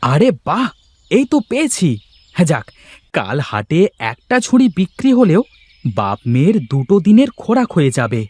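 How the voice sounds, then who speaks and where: A man's voice: high voice, wildly swooping pitch, normal speed, a little mellow, husky, no echo, heavily acted. A man voicing a poor, weary village basket weaver and father in a fairy-tale cartoon, lamenting and pleading as he speaks. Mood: musing, worried.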